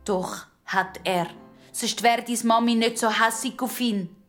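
Woman as inquisitive young Swiss German boy, children's audio story: nosy, blunt, pitched up. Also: fairly even intonation, unhurried, a bit bright, soft background music. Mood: blunt, certain. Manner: inquisitive, pestering.

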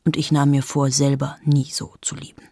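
A woman's voice: completely flat delivery, moderate pace, dark tone, no room sound, full character voice. An actress in her thirties playing a cold, cynical journalist in a radio play monologue, confiding and often dropping to a bitter whisper. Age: 30s. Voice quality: husky, gravelly low voice.

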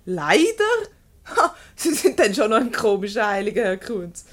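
A woman's voice: mid-range voice, big pitch swings, moderate pace. A woman with a Swiss German accent playing a clever, teasing, unbothered wife in a radio comedy, coolly mocking. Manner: mocking, coolly self-assured.

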